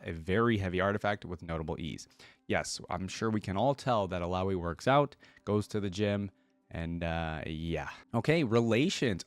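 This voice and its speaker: Low-voiced man, casual reaction host. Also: dry recording. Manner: conversational, thinking aloud, musing. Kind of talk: casual reaction commentary, reading aloud and commenting.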